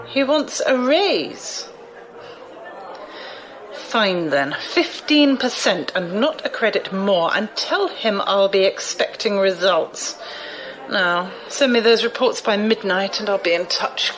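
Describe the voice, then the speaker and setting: A woman's voice: medium pitch, lively intonation, takes it slowly, a bit bright, faint music behind, poor audio. A woman playing an imperious, shrewd, wealthy older noblewoman in an audio drama, commanding and condescending. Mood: curt, commanding.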